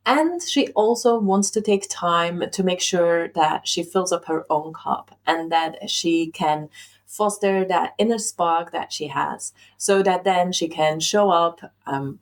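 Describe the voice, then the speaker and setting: A young woman from Belgium co-hosting a podcast, speaking softly in casual chat with frequent ums and likes. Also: on the low side. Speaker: podcast co-host.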